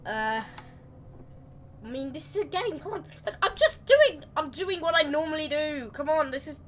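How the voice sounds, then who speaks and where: A woman with a slightly croaky voice, rambling chattily through live gameplay commentary and correcting herself as she goes. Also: high-pitched, expressive, normal speed, slight background noise. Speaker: game streamer.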